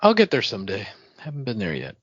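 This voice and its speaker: A man's voice: fairly low pitch, wildly swooping pitch, fast, husky, no room sound, dull audio. A man co-hosting a podcast in casual, informal chat, acting out short imagined lines as he talks. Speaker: podcast co-host.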